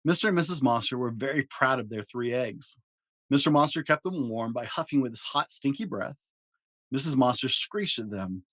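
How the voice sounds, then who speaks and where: A man reads a picture book aloud in an expressive storytelling style. His pitch moves around freely for drama, and the sound is dry, with little room in it. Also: moderate pace, dry recording. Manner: animated, dramatic delivery. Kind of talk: expressive children's story narration.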